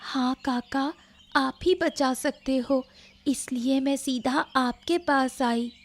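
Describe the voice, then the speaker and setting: A woman's voice: sits high, fairly even intonation, takes it slowly, full character voice. A woman playing a concerned, sympathetic village girl in a Hindi cartoon story. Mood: worried.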